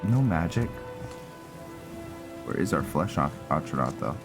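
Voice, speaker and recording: A man giving casual roleplay gameplay commentary, thinking aloud in a halting way as he goes. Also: extremely low pitch, animated, over music. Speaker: gaming channel host.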